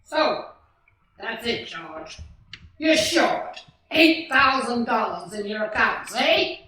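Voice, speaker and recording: A woman's voice: a little high, sing-song, takes it slowly, sharp tone, full character voice. A woman playing a cold, suspicious, domineering rich old man in a 1940s-style radio play, recorded in a very echoey room. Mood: cold, probing. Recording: very echoey room, distant from microphone.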